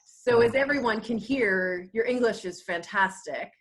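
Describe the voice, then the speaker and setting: A woman's voice: medium pitch, measured pace. A woman hosting an online talk, introducing her guest and asking questions over a video call. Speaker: event host and moderator.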